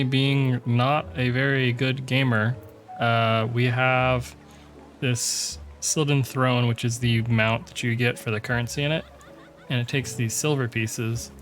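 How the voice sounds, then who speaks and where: A man's voice: mid-range voice, fairly bright tone. A man giving rambling, casual commentary over his gameplay, with frequent ums and restarts. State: sick with a cold, voice going. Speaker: gaming video creator.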